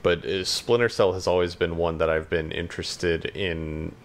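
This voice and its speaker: A man co-hosting a podcast in casual chat, talking in a hesitant, halting way. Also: low voice, fairly even intonation. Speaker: podcast co-host.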